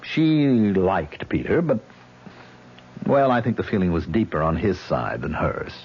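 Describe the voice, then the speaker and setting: A man's voice: low voice, animated, a little slow, slightly rough, exaggerated voice. An actor in a vintage radio drama playing a haunted man, exasperated and defensive, with sardonic, indignant protests. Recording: muffled old radio recording.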